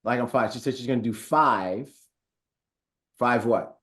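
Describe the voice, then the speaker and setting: A man's voice: medium pitch, unhurried, a bit bright. A man hosting a live online training call, upbeat and chatty, reading viewer comments aloud with frequent fillers. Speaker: host of a company training call. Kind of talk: casual live webinar hosting.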